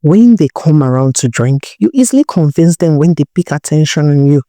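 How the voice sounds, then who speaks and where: A woman narrator plays a hard-nosed young Nigerian woman in a folktale. She lectures bluntly with scornful questions, in a low voice with a dry sound. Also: expressive, brisk, mellow, dry recording. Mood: instructing. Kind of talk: storybook character dialogue.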